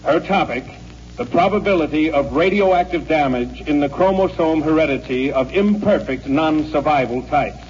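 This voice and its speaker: A man's voice: a little high, normal speed, darkish tone, slight background noise, lightly acted. An actor playing an impersonal male public-address announcer, formal and official, in a 1950s American radio drama. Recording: dull old radio recording.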